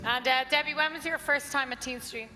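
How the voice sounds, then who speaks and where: A woman's voice: high voice, steady tone, fairly quick, bright, forceful tone, soft background music. A woman hosting from a stage, interviewing and preaching in short phrases. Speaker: host, preacher. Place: large event hall. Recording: live hall with long reverb.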